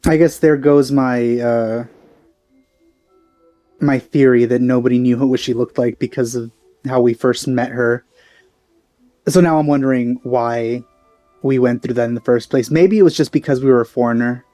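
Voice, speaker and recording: A man's voice: medium pitch, fairly even intonation, moderate pace, pauses often, dark tone, faint music behind. A man giving casual commentary on a game he is playing, musing and thinking aloud. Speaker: let's play gamer. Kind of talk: casual blind playthrough commentary.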